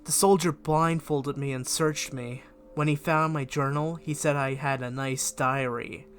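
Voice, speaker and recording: A man's voice: fairly high pitch, fairly even intonation, unhurried, fairly bright tone, a little hiss or hum. A man reading a diary-style horror story aloud as scripted narration, with expression. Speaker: fan fiction reader and video creator.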